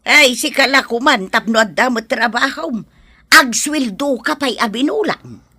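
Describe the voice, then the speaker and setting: A woman's voice: high voice, measured pace, pushed and bright, breathy edge, no echo, clean studio sound, full character voice. A woman acting a scheming, goading village mother in a Philippine radio drama. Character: scheming village mother, sharp and goading toward her son.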